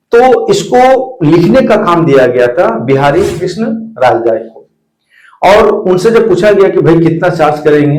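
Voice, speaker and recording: Echoey, higher-pitched man presenting. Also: darkish tone. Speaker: video presenter. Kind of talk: explainer talk to viewers. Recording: echoey room.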